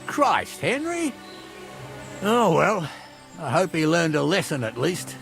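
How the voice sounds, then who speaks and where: Gravelly older medieval captain. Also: male voice, a little high, huge pitch swings, slow pace, fairly bright tone, faint music behind, full character voice. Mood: exasperated. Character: gruff older male medieval captain, hearty and begrudgingly kind. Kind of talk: video game drama acting.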